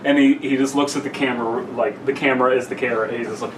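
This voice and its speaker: A man's voice: medium pitch, steady tone, racing. A man telling loose anecdotes as a podcast co-host, rambling and acting out what people said. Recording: echoey, distant mic with loud noise.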